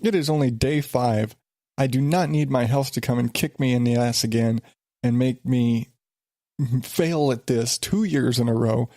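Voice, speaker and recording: A man's voice: mid-range voice, normal speed, mellow, no room sound, studio quality. Stuffy-voiced man rambling on his podcast. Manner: rambling, exasperated, many restarts. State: stuffed up with a sinus infection. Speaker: podcast host. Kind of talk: casual solo podcast.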